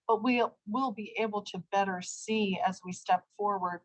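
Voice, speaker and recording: A woman's voice: mid-range voice, fairly even intonation, normal speed. A woman giving explanatory testimony as an official at a formal hearing. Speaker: government aviation official testifying.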